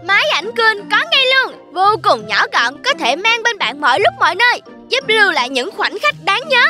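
A woman voicing an eager, sweet little girl in an animated cartoon. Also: extremely high pitch, brisk, soft background music, heavily acted. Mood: explaining.

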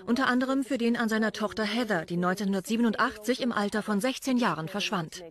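A woman's voice: mid-range voice, quick pace. A woman reading a scripted news report as a reporter. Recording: crowd noise behind the voice. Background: crowd.

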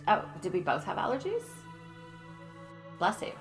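A woman's voice: mid-range voice, wildly swooping pitch, normal speed, over music. A middle-aged woman playing a frantic, demanding, fussy woman in an improvised soap opera, whiny and rambling. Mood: gushing.

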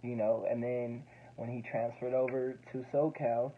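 A man's voice: medium pitch, flat delivery, a little slow. Young man in his 20s, casual slangy explainer, muffled phone-recorded sound with light hiss. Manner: casual slangy, repeats himself. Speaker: student pilot explaining recordings. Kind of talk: casual explainer over playback.

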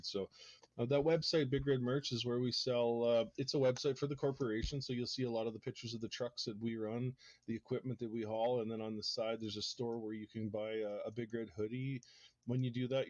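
Canadian guy in his home office, lowish voice, flat muffled webcam sound. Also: no echo. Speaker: guest. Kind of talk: casual chat. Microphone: video call webcam. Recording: dry lo-fi webcam audio.

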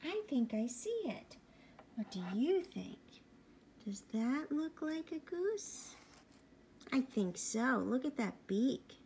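Slow, soft-toned woman reading to children. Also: sits high, very animated, slight background noise. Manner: gentle, often hushed to a whisper, asking the listener questions. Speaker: bedtime story reader. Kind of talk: reading a picture book aloud to young children.

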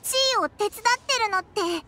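A woman's voice: very high-pitched, quick pace. A woman voicing a tiny, naive, curious fairy companion in an anime game, with cute childlike speech. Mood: surprised, puzzled. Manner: cute, childlike, exclamatory, questioning. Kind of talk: Japanese anime game voice acting. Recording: loud game music behind the voice. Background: prominent background game music.

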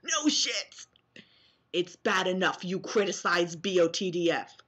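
Bright sharp voiced girl in her 20s, takes her time, sarcastic vlogger doing mock dramatic readings. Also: fairly low pitch, expressive, a little slow, breathy edge. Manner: sarcastic, theatrical, exaggerated when reading. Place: small dim bedroom, indoors.

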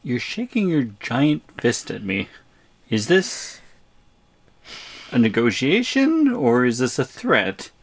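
Man playing an exasperated, creaky-voiced shrunken captive. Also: medium pitch, very animated, slow pace, pauses often, heavily acted. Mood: wary, reasoning. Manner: firm, incredulous, negotiating. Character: exasperated, tiny shrunken man reasoning with his captor. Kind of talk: audio roleplay acting.

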